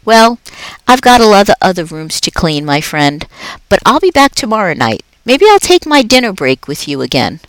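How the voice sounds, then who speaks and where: A woman's voice: mid-range voice, big pitch swings, normal speed, dry recording, pitched above the performer's own voice, poor audio. A woman doing a jolly joking janitor. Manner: cheerful, joking. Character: jolly man, night school janitor, joking and friendly.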